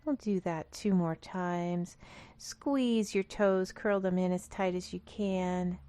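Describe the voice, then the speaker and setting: A woman leading a guided meditation in a calm, gentle, instructional manner. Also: on the low side, takes it slowly, smooth, no echo. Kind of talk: guided body scan meditation.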